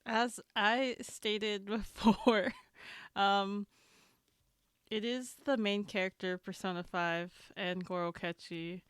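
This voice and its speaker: A woman on a podcast panel casually explaining things to fellow fans in a halting, hedging way. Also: medium pitch, steady tone, fairly bright tone, clear, resonant tone, no echo, clean studio sound. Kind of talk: casual explanatory fan chat.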